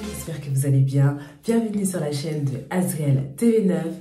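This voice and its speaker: A woman hosting a channel, talking casually and hoarsely through a cold, thanking viewers over and over. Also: low voice, animated, normal speed, warm tone, echoey room, faint music behind. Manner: repetitive, chatty thanks to viewers. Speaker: YouTube channel host. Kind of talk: casual talk to camera.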